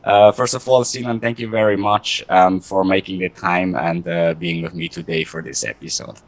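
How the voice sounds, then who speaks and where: A man with a fairly low voice hosts a conversational interview. He phrases things deliberately and haltingly, feeling his way through introductions and questions. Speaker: podcast host interviewing a guest.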